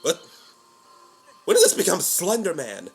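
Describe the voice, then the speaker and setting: A man's voice: sits high, huge pitch swings, measured pace, creaky, husky. A man giving casual reaction commentary in short exclamations and quips over what he is watching. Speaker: reaction video host.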